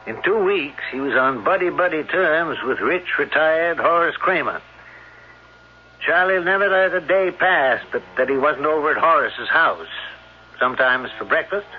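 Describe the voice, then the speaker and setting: A man's voice: a little high, close to an everyday voice. An actor playing a polite, earnest small-town newspaperman, speaking plainly in an old-time radio drama. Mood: reflective. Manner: courteous, earnest, plain-spoken. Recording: dull, band-limited old radio recording.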